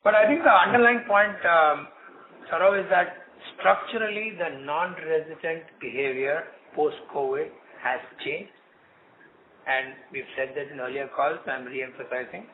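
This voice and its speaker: A man's voice: a little high, a little slow. A man answering analysts as a bank executive, often posing short questions and answering them himself. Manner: asks and answers own questions. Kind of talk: earnings call answers, unscripted. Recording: muffled phone conference line, noisy.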